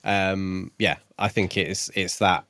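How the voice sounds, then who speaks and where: Lowish-voiced man in a dry recording. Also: level tone, takes it slowly, a bit bright, dry recording. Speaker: podcast co-host. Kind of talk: casual book discussion.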